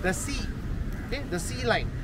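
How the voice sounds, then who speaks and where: A man's voice: extremely high pitch, expressive, normal speed, low-quality recording. Male tour guide, explanatory, says okay a lot, crowd noise outdoors.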